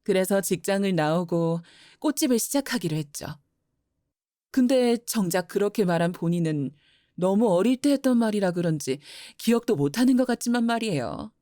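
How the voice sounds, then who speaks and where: A woman playing a gentle, teasing mother. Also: mid-range voice, animated, moderate pace, dry recording, studio quality, close to an everyday voice. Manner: gentle, teasing, laughs often. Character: warm mother who runs a flower shop. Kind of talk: audio drama acting.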